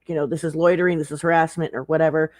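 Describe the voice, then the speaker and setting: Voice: mid-range voice, little pitch movement, very fast, a little mellow, dry recording, clean studio sound. A livestream co-commentator chatting casually in a blunt, profane way. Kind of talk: casual chat.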